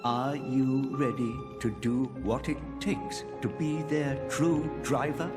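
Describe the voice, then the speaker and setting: An actor playing a stern, grandfatherly old mentor in a video game, speaking in a deliberate, challenging way. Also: mid-range voice, lightly acted. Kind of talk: dramatic video game cutscene acting. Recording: loud music behind the voice.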